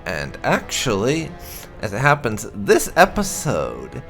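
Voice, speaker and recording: Male let's-play presenter, slightly low voice, animated intonation, deliberate halting phrasing. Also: creaky, soft background music. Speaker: gaming video host. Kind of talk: casual let's-play commentary.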